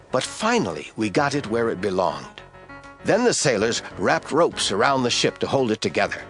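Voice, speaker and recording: A man narrating an audio drama in a measured storytelling manner. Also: medium pitch. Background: soft music score.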